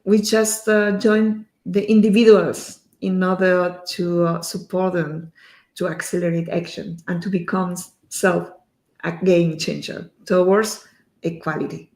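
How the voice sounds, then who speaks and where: Halting woman host in an echoey room. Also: on the low side, little pitch movement, darkish tone. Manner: halting, loosely built phrasing. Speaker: host of a livestream. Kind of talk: live stream interview.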